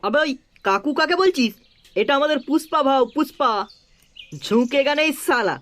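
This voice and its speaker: A man's voice: very high-pitched, expressive, moderate pace, a bit bright. A man acts out a comedy cartoon sidekick, a cheeky fellow. He needles and placates in a fawning way. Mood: boastful, reassuring. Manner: teasing, then placating. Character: cheeky, fawning male sidekick of a gang boss.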